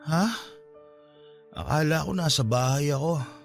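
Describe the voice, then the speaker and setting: Groggy drunk filipino boyfriend, sorry for himself, radio drama, music under it. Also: male voice, on the high side, unhurried, creaky. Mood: groggy, confused. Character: drunk, wounded young boyfriend, neglected younger son. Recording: music behind.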